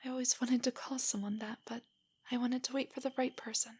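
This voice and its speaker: A woman playing a nervous, nerdy young girlfriend in an intimate roleplay audio drama, soft and whispery, with hesitant, stammering speech. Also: fairly high pitch, level tone, a little fast. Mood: tender, happy. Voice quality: soft, breathy, often whispery. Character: shy, nervous, nerdy young girlfriend, self-doubting and affectionate.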